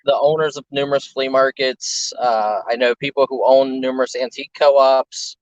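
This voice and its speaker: A man's voice: medium pitch, steady tone, moderate pace, dull audio. A man in his forties, a dealer guest telling rambling stories in a casual interview. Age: 40s. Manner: rambling, anecdotal storyteller. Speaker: guest, antiques and ephemera dealer.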